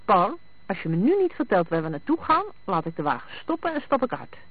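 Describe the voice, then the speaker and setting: The recording is thin, dry and phone-like. A male narrator puts on an insistent wife from a detective story, pitched high. She is pointed and sceptical, pressing her husband for answers. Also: big pitch swings, fairly quick, slightly rough, dry recording, exaggerated voice. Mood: impatient. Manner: pointed, questioning. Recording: thin, phone-like band-limited sound.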